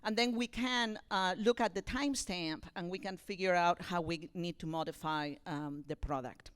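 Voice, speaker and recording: A woman's voice: lowish voice, normal speed, smooth. A woman giving an informal, explanatory introduction to a theater audience, speaking to the room directly as a director would.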